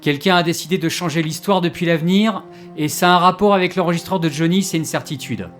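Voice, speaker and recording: Guy acting gloomy time traveller dude, slightly high voice, exasperated, hesitant, music underneath, audio drama. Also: fast, a bit bright, lightly acted. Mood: grave, resigned.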